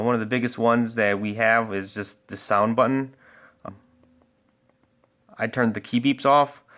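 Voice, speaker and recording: A man's voice: lowish voice, fairly bright tone. A man giving a casual product review and walkthrough as a sales expert, explaining things informally step by step.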